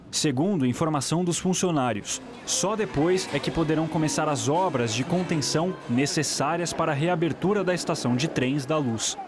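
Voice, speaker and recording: A male news reporter reads his report in a measured, formal way. His voice sits a little high. Faint street noise runs behind him. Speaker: field reporter. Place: outdoors on a city street by a train station. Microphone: handheld reporter mic.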